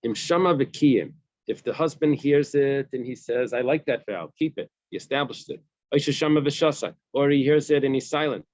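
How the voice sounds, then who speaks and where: A man's voice: medium pitch, moderate pace, darkish tone, dry recording. A man casually leading a Talmud study session, reading phrases and explaining them. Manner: explains a text, reads Hebrew phrases and translates. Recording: dull dry lo-fi sound.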